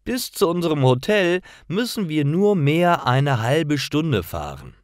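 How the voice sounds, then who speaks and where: A cheerful, friendly young woman in a storybook, as a man reads her. The voice sits high and moves up and down eagerly, each word clearly formed. It is recorded dry. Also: big pitch swings, a little slow, dry recording.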